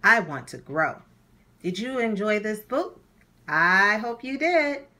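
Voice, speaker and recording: A woman hosting a children's read-aloud, narrating a storybook in a deliberate, animated way for young listeners. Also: medium pitch.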